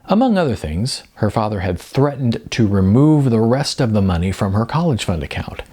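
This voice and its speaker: A man narrating a scripted story as an audiobook reader, with a gravelly, husky voice. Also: fairly low pitch, very animated, normal speed, dark tone.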